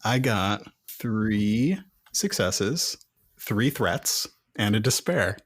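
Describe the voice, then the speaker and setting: A man's voice: lowish voice, lively intonation, drawn-out words, a little hiss or hum. A man playing a tabletop roleplaying game, chatting casually and narrating his character's moves.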